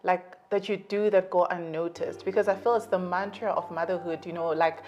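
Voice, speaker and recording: A woman's voice: lowish voice, fairly even intonation, fairly quick. A young adult woman from Zambia with a Zambian accent, hosting a podcast interview in a casual, chatty way, full of fillers and easy laughter. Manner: warm, chatty, laughs often, many fillers like "you know". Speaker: podcast host. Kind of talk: candid conversational interview.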